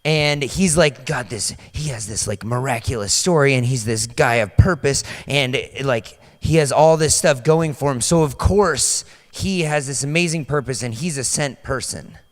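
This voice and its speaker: A young man preaching a loose, chatty sermon on a church stage into a handheld mic. His voice is partly worn out and slightly hoarse, and he uses many fillers and restarts. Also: medium pitch, normal speed, few pauses. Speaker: pastor. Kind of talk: casual sermon. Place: large dark stage in a church hall. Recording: long hall reverb.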